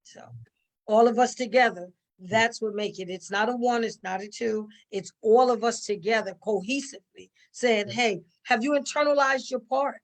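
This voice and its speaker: Woman, middle-aged, high voice, chatty interview answers, repetition for emphasis, acted-out asides. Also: normal speed, poor audio. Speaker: stage play cast member.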